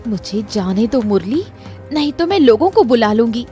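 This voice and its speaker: Lady playing a scared but defiant young girl in a cartoon story, pleading, voice jumping up high, music under it. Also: very animated, moderate pace, warm tone. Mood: angry, defiant. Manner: pleading and indignant. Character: frightened, defiant young woman, a tearful daughter. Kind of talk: dramatized Hindi cartoon story.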